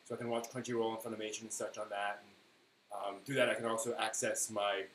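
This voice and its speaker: A man hosting a casual live stream, replying to viewers in a halting way that often trails off mid-thought. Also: lowish voice, flat delivery, fairly bright tone. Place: indoor room at home with printer running. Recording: roomy echo, faint buzzing 3D printer noise. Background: faint buzz of a 3D printer.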